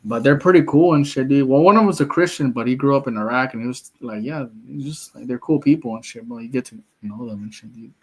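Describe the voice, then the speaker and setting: A man's voice: medium pitch, quick pace, hardly any pauses, darkish tone, husky, rough recording. A man from the United States with a Mexican-American accent, talking bluntly and profanely in a casual streamed chat, full of slang. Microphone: streaming call mic.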